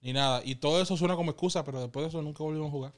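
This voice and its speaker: Man, Dominican accent, thirties, interview guest, animated storyteller, slightly high voice, clear tone. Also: rapid-fire. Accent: Dominican Spanish. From Dominican Republic. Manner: animated, laughs often, quotes others in lively voices.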